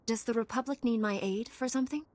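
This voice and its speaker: A woman playing a composed, dutiful knight in a video game, measured and assured. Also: a little high, darkish tone, lightly acted.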